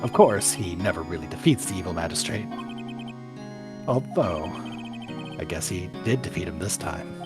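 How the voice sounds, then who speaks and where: Man voicing mild action-show actor, video game, polite, explanatory, animated intonation, music in background. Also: deep voice, normal speed, long pauses. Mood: modest explaining. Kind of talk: live fan voice-over of a video game.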